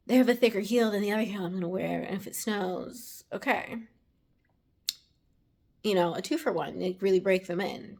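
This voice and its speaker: A young woman chatting casually to her camera at home, rambling with fillers and dropping into whispered asides, her voice a little raspy. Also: medium pitch. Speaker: vlogger.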